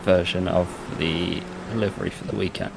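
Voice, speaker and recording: Gravelly low-voiced man on chat. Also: level tone, moderate pace, background noise. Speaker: friend on voice chat. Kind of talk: casual chat.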